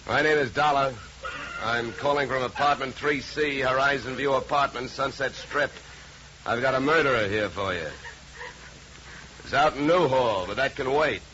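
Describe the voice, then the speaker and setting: A man's voice: mid-range voice, normal speed, bright, forceful tone, a little hiss or hum, natural voice. An American actor playing a tough, wry male investigator in a 1950s radio detective drama, with a clipped hard-boiled delivery. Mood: brisk, sardonic. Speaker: lead actor. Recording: muffled 1950s radio recording, music.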